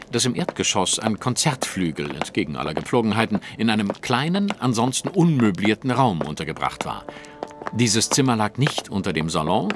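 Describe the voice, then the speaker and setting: An actor playing a shrewd, haughty male detective in an audio drama, speaking in a precise, formal, lecturing way. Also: fairly low pitch. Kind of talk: German audio drama acting.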